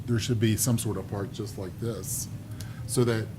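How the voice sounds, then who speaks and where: A low-voiced man muses out loud at a public meeting and pokes gentle fun at himself as he goes. A clear background hiss runs under the stream. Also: steady tone, moderate pace. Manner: musing, self-deprecating.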